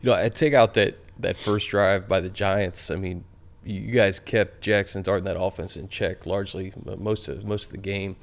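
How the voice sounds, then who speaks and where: Low-voiced male sports interviewer. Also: steady tone, normal speed, gravelly. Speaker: sports show host, interviewer. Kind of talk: casual sports interview questioning.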